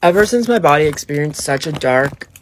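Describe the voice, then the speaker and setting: A man's voice: fairly high pitch, lively intonation, a little fast, rough recording. Man, casual storytelling, conversational, emphatic, slangy, noticeable background noise. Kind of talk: casual first-person storytelling for social video.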